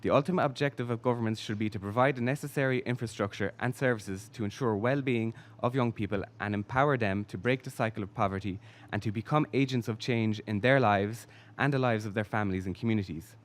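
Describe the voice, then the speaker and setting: A man reading a measured, formal prepared speech as a youth delegate in a large conference hall. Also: fairly low pitch. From Ireland.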